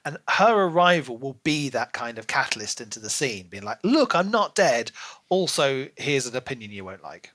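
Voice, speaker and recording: A man's voice: mid-range voice, sing-song, normal speed, fairly bright tone. A man co-hosting a podcast, chatting casually about books with emphatic, opinionated phrasing. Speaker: podcast co-host. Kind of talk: casual book discussion.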